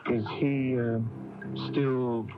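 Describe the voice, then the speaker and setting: An actor playing a reserved, courteous young son with a hesitant manner in a 1980s radio drama. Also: male voice, mid-range voice, level tone, extremely slow, music in the background. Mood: polite, uneasy. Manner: polite, hesitant. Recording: dull, hissy AM radio tape.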